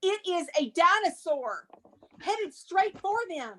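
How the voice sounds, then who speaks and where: A woman's voice: extremely high pitch, big pitch swings, slow pace. A woman narrating the stage directions in a dramatic screenplay reading, with casual asides.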